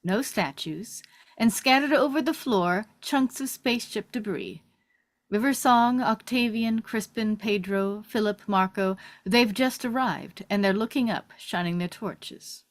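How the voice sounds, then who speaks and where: A woman with a mid-pitched voice narrates a script. She reads scene headings and stage directions as part of a table read. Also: a little slow.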